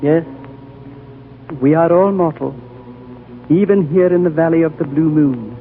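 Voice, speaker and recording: An actor playing an ancient, wise and gentle high lama with a solemn, fatherly, oratorical manner, in the style of 1940s radio drama. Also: measured pace, slight background noise. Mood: grave, tender. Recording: tinny 1940s radio recording over music.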